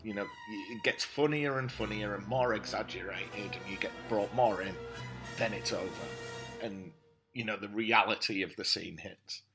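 A man co-hosting a podcast, discussing things analytically in thoughtful, halting phrases. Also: on the low side, music in the background. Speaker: podcast co-host.

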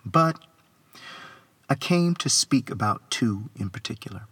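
A man with a husky, gravelly voice reads a literary essay like a spoken-word poet, phrasing deliberately and rhythmically and leaning into the wordplay. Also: mid-range voice, animated, fairly bright tone. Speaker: poet reading own essay.

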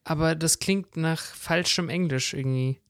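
A man's voice: fairly high pitch, normal speed, dry recording, studio quality. A man co-hosting a podcast, chipping in briefly with laid-back, casual remarks. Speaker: podcast co-host. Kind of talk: casual conversational podcast chat.

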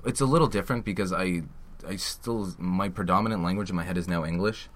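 Guy with armenian as his first language, really low voice, rattles off fast, crude jokey chat. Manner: quick, joking, crude banter. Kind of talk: casual chat.

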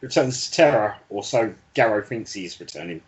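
A man's voice: lowish voice, lively intonation, normal speed, dull audio. A man co-hosting a podcast, chatting casually and informally about a book. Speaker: podcast co-host. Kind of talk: casual book discussion chat.

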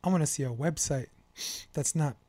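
A man's voice: fairly high pitch, normal speed, mellow, no room sound. An American man in his fifties hosting a podcast in casual chat, with a slangy, joking way of rambling into advice. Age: 50s. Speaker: podcast host.

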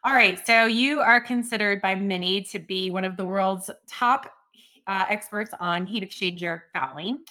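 A woman's voice: mid-range voice, normal speed, pushed and bright, no room sound. A woman hosting a podcast, introducing her guest and asking questions in an upbeat, enthusiastic way. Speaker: podcast host.

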